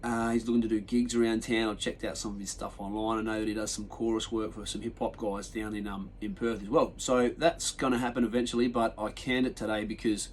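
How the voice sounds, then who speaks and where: A man's voice: on the low side, little pitch movement, a little fast, few pauses, slight background noise. Congested Australian man rambling on a podcast. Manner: rambling, laid-back storytelling with frequent ums and restarts. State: nasal and chesty from a sinus infection. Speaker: podcast host. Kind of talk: casual solo podcast chat.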